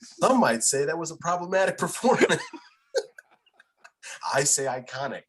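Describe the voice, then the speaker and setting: A man's voice: on the high side, sing-song, moderate pace, a bit bright, slight background noise. A man chatting as a podcast guest in an informal, opinionated way, blunt and emphatic about his points. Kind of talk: casual opinionated chat.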